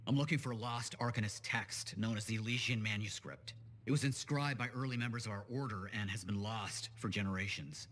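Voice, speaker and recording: A man's voice: lowish voice, brisk, a bit bright, a little hiss or hum, lightly acted. A man playing an eccentric, pompous scholar in a sci-fi video game, speaking with theatrical precision. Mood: enthusiastic, mysterious. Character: eccentric, dramatic male scholar researcher. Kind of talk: acted game dialogue.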